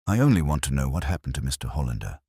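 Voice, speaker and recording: A man's voice: very deep voice, very animated, racing, dark tone, slightly rough, no echo, studio quality, exaggerated voice. A man voicing an earnest, genteel Victorian woman in an audiobook, with a polite, reserved and formal manner. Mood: uneasy, earnest. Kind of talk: audiobook character dialogue.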